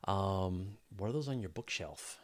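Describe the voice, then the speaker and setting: Guy with a lowish voice hosting a live q&a, casual, kinda thinking out loud as he goes. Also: expressive, a little slow. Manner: informal, thinking aloud in broken phrases. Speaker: host of a space news channel.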